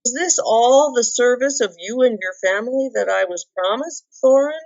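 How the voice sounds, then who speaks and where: A woman voicing a timid but indignant little male hobbit in a storybook, squeaky, fussy and polite. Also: normal speed, a little mellow, natural voice. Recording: dull lo-fi roomy recording.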